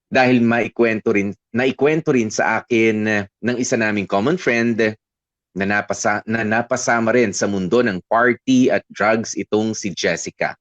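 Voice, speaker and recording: A man's voice: on the low side, no room sound. A man narrating a first-person letter on radio in an earnest, confiding way. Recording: dry dull radio studio sound.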